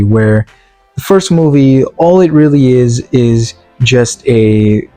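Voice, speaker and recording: A man talks through a casual review in a mellow, soft-edged voice at an ordinary pitch, sounding worn out. He rambles, restarts and leans on fillers as he goes. Faint music plays behind him. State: tired, worn-out voice. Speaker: video reviewer. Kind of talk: casual spoken movie review.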